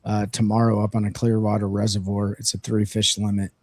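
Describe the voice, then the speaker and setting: Hoarse, rambling fishing-show man. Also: lowish voice, a little fast, no room sound. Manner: energetic, rambling, filler-heavy. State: hoarse, voice worn out. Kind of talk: casual livestream chat with sponsor reads. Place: region of central North Carolina.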